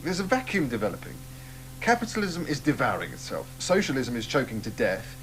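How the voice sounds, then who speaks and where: An actor playing an earnest, intellectual journalist with a dry manner. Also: male voice, medium pitch, sing-song, a little fast, fairly bright tone, faint music behind, rough recording. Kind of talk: radio drama acting.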